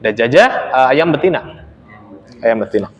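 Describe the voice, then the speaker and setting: A young man teaching an Arabic lesson, chatty and relaxed, laughing often and reading phrases slowly for students to repeat. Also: medium pitch, muffled recording. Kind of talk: casual online Arabic lesson, reading aloud for repetition. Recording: long reverberant echo.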